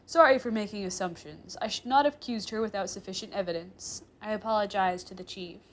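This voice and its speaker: A woman's voice: medium pitch, brisk, lightly acted. A woman voicing a proud, stern, easily offended male demon professor with a formal, indignant manner in a story. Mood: stiff apology.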